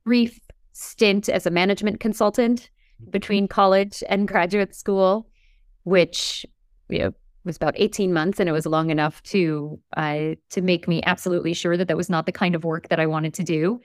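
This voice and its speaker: Woman prof, forties, low-ish smooth voice, careful and emphatic, dry close mic. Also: fairly even intonation, dry recording. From United States. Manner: articulate, measured, emphatic. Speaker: English professor. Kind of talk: reflective interview talk.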